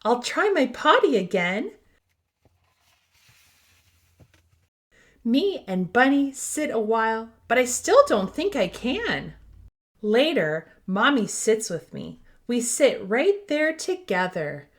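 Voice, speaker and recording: A woman voicing an eager, proud toddler from a storybook, with simple, expressive, sing-song delivery. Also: fairly high pitch, slow. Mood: hesitant. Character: eager, proud toddler learning to use the potty.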